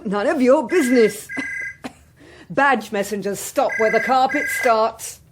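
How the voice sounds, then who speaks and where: An actress playing a blunt, loyal British secretary in a political radio drama, with a no-nonsense, teasing manner. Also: female voice, a little high, measured pace, noisy background, natural voice. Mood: brusque, bantering. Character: brisk, blunt, loyal secretary in a parliamentary whip's office.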